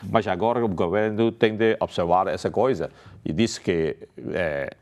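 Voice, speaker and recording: A man speaking haltingly as a non-native speaker, full of pauses and restarts, as a candidate in a televised political debate. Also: deep voice, a little mellow. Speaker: election candidate. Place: television studio.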